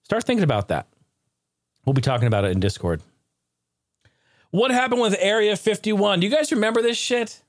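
A man hosting a podcast in casual comedic chat, talking in a jokey, excitable, informal way. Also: high voice, brisk, pauses often, dry recording, clean studio sound. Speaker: podcast host.

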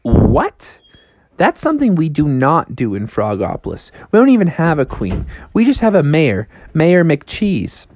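A man's voice: on the high side, huge pitch swings, measured pace, darkish tone, slight background noise, pitched above the performer's own voice. A man voicing a friendly, laid-back frog in a children's story, drawling in surfer-dude slang. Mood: puzzled.

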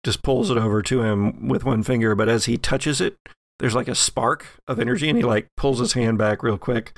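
A man's voice: mid-range voice, moderate pace, no room sound. A man improvising conversational narration of a character's actions in a tabletop role-playing game.

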